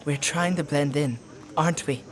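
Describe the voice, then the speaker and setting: An actor playing a wary, streetwise young companion in a dark fantasy audio drama, talking informally and nudgingly. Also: mid-range voice, fairly even intonation, moderate pace, noisy background, lightly acted. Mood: hushed, coaxing.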